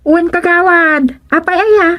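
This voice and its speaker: Very high-voiced village health worker. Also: female voice, unhurried, a bit bright. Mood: wary, curious. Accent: Filipino, Ilocano. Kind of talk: radio comedy drama acting.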